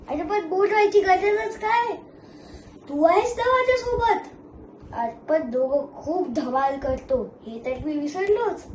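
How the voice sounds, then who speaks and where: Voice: high-pitched, darkish tone. A child performing an amateur theatrical monologue, addressing an audience as a play competitor. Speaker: competitor in a one-act play contest. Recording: echoey room with faint noise.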